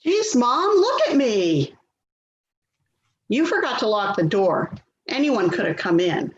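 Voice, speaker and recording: A woman's voice: a little high, very animated, slow. Woman acting exasperated daughter, echoey dull recording. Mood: exasperated, scolding. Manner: nagging, put-upon. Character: exasperated, worrying adult daughter of an elderly mother. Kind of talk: readers theater stage-play acting. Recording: echoey room, dull sound, faint noise.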